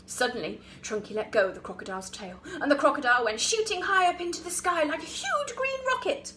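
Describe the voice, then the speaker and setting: A woman reading a children's story like an infant school teacher, expressive and with dramatic emphasis. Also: sits high, fairly quick, slight background noise.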